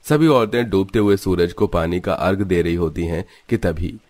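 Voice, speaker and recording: A man's voice: deep voice, moderate pace, a little mellow, no room sound. A man narrating a scripted cartoon story in a dramatic storybook manner.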